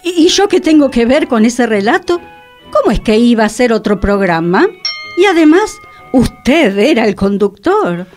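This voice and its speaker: An actress plays an indignant woman radio host with a high voice and a Buenos Aires accent. Her delivery swoops expressively as she questions everything in theatrical radio-play style, with faint music around her. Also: big pitch swings, normal speed, darkish tone. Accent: Argentine, Buenos Aires.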